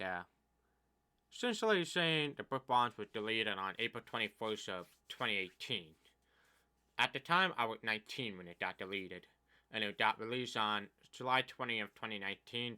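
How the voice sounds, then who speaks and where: A man's voice: fairly low pitch, normal speed, clean tone. Deaf young man venting about games. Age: 20s. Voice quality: deaf speaker's speech. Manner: complaining, rambling, uneven loudness. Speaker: gaming YouTuber. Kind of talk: casual commentary vlog.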